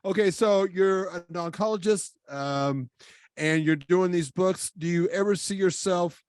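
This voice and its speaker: A middle-aged man hosting a podcast interview, chatty and self-deprecating, quick to laugh at himself. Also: sits high, smooth, no room sound, clean studio sound. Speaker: podcast host. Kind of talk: casual interview chat.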